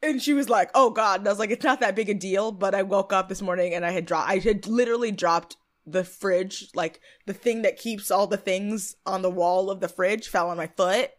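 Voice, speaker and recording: A woman in her late twenties, co-hosting a podcast, recapping a TV episode in casual, profane, lively chat. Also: medium pitch, a little fast. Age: 20s. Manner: animated, profane, lively storytelling. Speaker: podcast co-host.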